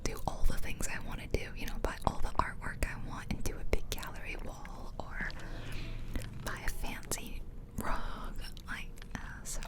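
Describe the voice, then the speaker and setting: A woman speaks entirely in a soft whisper, close to an ASMR microphone. She rambles in an informal, chatty way, as if narrating casual gameplay from a bedroom at home. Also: normal speed. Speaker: ASMR video creator. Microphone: close ear-to-ear ASMR microphone.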